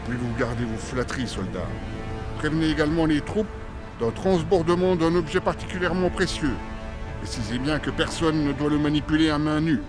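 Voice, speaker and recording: A man acting as an authoritative army commander in an audio drama. He is composed, curt and formal, with a voice a touch above average pitch. Music is clear behind him. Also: fairly even intonation, fairly quick, natural voice. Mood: stern.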